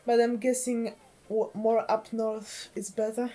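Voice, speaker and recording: A woman's voice: fairly high pitch, level tone, measured pace, dark tone, slight background noise. A woman from Poland with a Polish accent, chatting casually and easily as she streams a video game. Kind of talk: casual livestream game chat.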